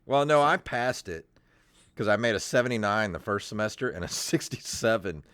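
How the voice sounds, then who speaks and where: An American man in his fifties hosting a podcast in casual, joking chat, amused, self-deprecating and quick to laugh. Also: fairly low pitch, animated, fairly bright tone, no echo. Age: 50s. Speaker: podcast host. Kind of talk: casual joking chat, reading aloud from old papers.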